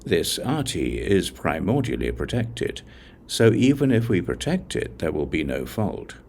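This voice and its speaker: A man reads scripture as a scripted audiobook narration, in a measured, solemn reciting manner. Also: deep voice, very animated, vocal fry, a little mellow, a little hiss or hum. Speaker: audiobook reader.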